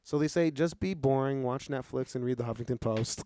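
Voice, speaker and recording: A man's voice: mid-range voice, moderate pace, dark tone, clean tone, no echo. A man hosting a podcast, arguing his point and probing his co-host's words. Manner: argumentative, probing, picks words carefully. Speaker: podcast host and comedian. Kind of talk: casual podcast chat.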